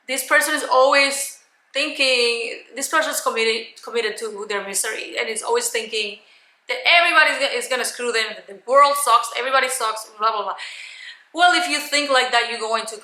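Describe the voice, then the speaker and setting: A woman giving a motivational coaching talk to camera in a loud, emphatic, blunt manner. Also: fairly high pitch, echoey room, poor audio. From Cuban. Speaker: life coach, video host.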